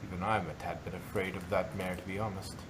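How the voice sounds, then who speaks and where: A man's voice: level tone, fast talker, natural voice. A man voicing a genteel, polite female unicorn dressmaker in a fan fiction reading. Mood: uneasy, candid. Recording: very noisy background, rumbling ambience.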